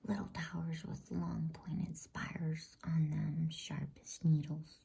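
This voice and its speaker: A woman reading a storybook as ASMR narration in a hushed, soothing, unhurried whisper close to the microphone. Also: sits low, flat delivery, vocal fry, husky. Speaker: bedtime story reader.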